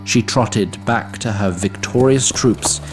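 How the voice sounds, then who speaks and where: A man's voice: fairly low pitch, slow, over music. A man narrating a fantasy audio drama as a scripted storyteller.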